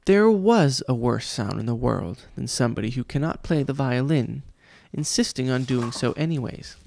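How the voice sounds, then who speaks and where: A man's voice: mid-range voice, animated, normal speed, creaky, darkish tone, slight background noise. A man reading a children's audiobook aloud as scripted storytelling narration. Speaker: audiobook reader, father reading to his child.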